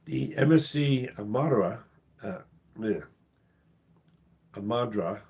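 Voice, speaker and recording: A man hosting his cruise channel live, losing his voice and talking in a halting, casual way with many pauses and restarts. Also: medium pitch, lively intonation, darkish tone. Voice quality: husky. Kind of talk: casual live-stream talk.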